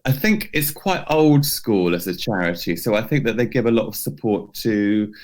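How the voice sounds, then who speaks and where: A man's voice: on the low side, expressive, moderate pace, darkish tone. A man co-hosting a podcast, telling a history story casually and unscripted, in a hesitant, loosely phrased way with some hedging. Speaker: podcast co-host presenting a history feature.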